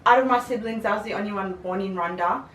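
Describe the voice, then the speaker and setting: A woman's voice: mid-range voice, quick pace, a crowd in the background. Chatty young woman in a roomy space. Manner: chatty, laughs often. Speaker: vlogger. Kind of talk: casual chat. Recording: roomy, distant mic, faint noise.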